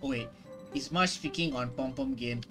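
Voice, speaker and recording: Slow, very low-voiced woman reacting casually. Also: over music. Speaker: gaming video creator. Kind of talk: casual game playthrough commentary, reading and reacting.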